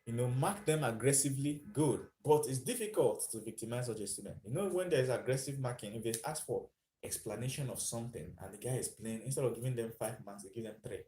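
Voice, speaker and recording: A man's voice: mid-range voice, animated, fast talker, dark tone, poor audio. A man hosting a live stream, thinking aloud with frequent fillers and answering viewer comments. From Nigeria.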